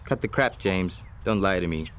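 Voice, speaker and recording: A young cop with a somewhat low voice, as an actor plays him, calm and persistent as he presses for the truth. A faint hiss sits in the background. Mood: probing. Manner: measured, persistent questioning. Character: young police officer, earnest, probing. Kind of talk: amateur film voice acting.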